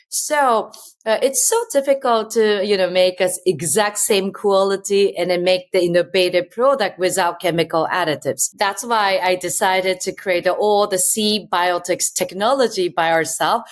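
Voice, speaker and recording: Woman, Japanese accent, thirties, interview guest, mid-pitched, earnest, chatty, many fillers. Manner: earnest, chatty, many "you know" fillers, loose grammar. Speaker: guest, company founder. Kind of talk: casual interview talk.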